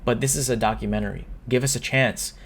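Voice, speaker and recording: A young man speaks straight to his camera in a mid-pitched, everyday voice. He sounds upset but earnest, and he stumbles and restarts his sentences as he works through his point. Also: steady tone, fast talker. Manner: earnest, stumbling, restarts and trips over words. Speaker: YouTuber. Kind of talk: talking-to-camera opinion vlog.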